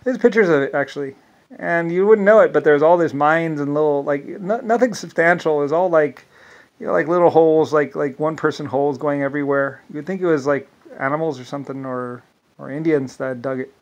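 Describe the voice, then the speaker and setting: Rambling man with a higher voice. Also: brisk, dull audio. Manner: meandering, restarts often, many "anyway" asides. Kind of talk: rambling solo monologue.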